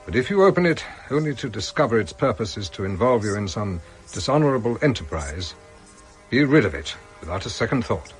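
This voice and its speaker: A man's voice: lowish voice, very animated, soft background music, low-quality recording. Solemn older English father. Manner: solemn, measured. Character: grave, honourable English father reading his letter. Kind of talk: letter read as radio drama voice-over.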